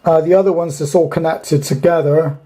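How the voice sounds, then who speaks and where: A man's voice: fairly high pitch, fairly even intonation, fairly quick, warm tone. A man giving a casual, rambling, matter-of-fact walkthrough as a hobbyist repairer, unscripted and thinking aloud, recorded indoors on a handheld camera from behind it. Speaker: hobbyist radio repairer presenting his video. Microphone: handheld camera, speaker behind it.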